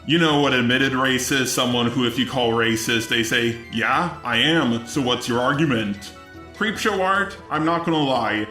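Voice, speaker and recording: A man's voice: medium pitch, normal speed, sharp tone. A man delivering argumentative scripted commentary in a sarcastic, indignant manner. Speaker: commentary video host. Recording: roomy echo with music underneath. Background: music.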